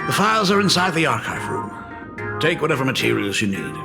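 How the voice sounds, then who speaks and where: A man's voice: fairly high pitch, very animated, brisk, creaky. A middle-aged actor playing a polite, hesitant male school dean and father in a video game. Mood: measured. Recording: reverberant game audio with music.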